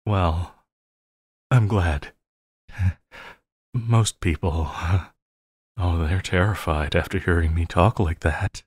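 A man playing an obsessive, lovesick supervillain in an intimate audio roleplay, halting and crooning, by turns sinister and pleading. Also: low-pitched, darkish tone, breathy edge, dry recording, clean studio sound, heavily acted. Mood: tender, menacing. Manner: halting, crooning, breaking into laughter.

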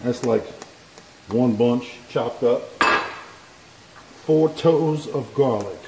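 A man's voice: mid-range voice, very slow, creaky. A man hosting a home cooking show, talking the viewer through each step in an easygoing, folksy way at his kitchen stove. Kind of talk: casual cooking demonstration. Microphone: camera over the stove, hands in frame. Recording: echoey kitchen, faint pan noise.

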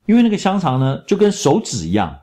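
A middle-aged man, chatting in a travel vlog voice-over and telling stories in an animated way. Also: on the high side, fast. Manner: chatty, animated storytelling with laughs. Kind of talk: casual travel commentary voice-over.

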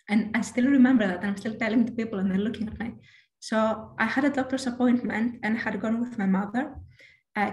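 A woman in her thirties from Greece with a Greek accent and a low voice, talking quickly with many restarts as a guest on a video call interview. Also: a little mellow, reverberant. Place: small room at home. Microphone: headset on video call.

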